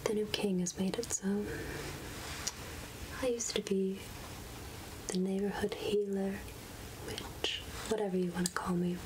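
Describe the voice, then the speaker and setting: A woman playing a gentle, absent-minded witch healer in a soft-spoken ASMR roleplay, half-whispering hesitantly close to the microphone. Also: mid-range voice, flat delivery, lightly acted. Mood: curious, gentle. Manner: slow, gentle, hesitant murmuring with repeated phrases. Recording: close-up ASMR with clear background noise.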